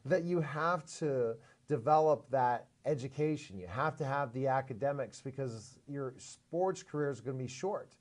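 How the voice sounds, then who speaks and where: A man's voice: medium pitch, a little mellow. A man hosting a TV interview show in a studio, rambling with restarts and earnest, lecturing asides. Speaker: talk show host. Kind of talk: casual interview talk.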